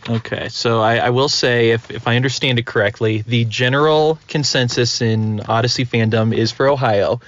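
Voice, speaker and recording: A man's voice: medium pitch, steady tone, moderate pace, hardly any pauses, a bit bright. A man chatting casually as a podcast host. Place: inside a car. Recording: dull lo-fi, dry.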